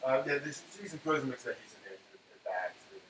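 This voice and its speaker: A man's voice: mid-range voice, expressive, fast talker, a bit bright. A man hosting a casual live cooking demonstration, chatting and explaining each step as he works. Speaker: cooking live-stream host. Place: indoor home kitchen. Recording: echoey room with clear background noise.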